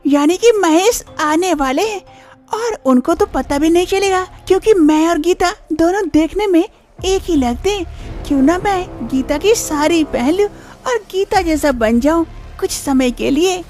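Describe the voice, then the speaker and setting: A woman's voice: very high-pitched, lively intonation, a little slow, darkish tone, soft background music. A woman voicing teasing twin sisters. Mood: playful. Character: young wife and scheming twin sister.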